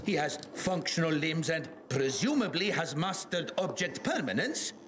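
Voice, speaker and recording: A dry sardonic scientist. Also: male voice, a little high, big pitch swings, moderate pace, fairly bright tone, a little hiss or hum.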